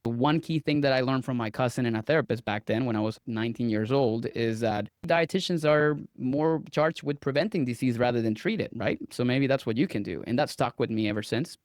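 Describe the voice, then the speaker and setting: A man's voice: medium pitch, a little fast, few pauses, no echo. An earnest man answers interview questions like a storyteller. He sometimes repeats a word as he speaks. His voice is mid-range, and the sound is dry and close to the mic. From Venezuela. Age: 30s. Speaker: guest dietitian.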